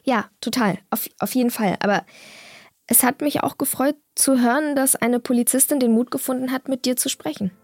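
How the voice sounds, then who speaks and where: An actress playing a hopeful young climate activist in a naturalistic audio drama, informal and sincere, sometimes hesitant. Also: female voice, high-pitched, fairly quick, no echo, studio quality. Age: young adult.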